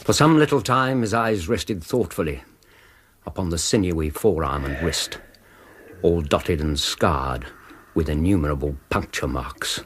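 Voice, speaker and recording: Grave Victorian narrator, very deep British voice, deliberate literary delivery, radio drama, faint noise. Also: male voice, lively intonation. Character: grave Victorian narrator recounting a detective's drug habit.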